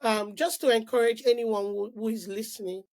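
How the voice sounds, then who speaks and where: A middle-aged woman from Nigeria, a podcast guest telling her story in an animated, casual way with frequent restarts and "like" fillers. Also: on the high side, moderate pace. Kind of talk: casual interview conversation.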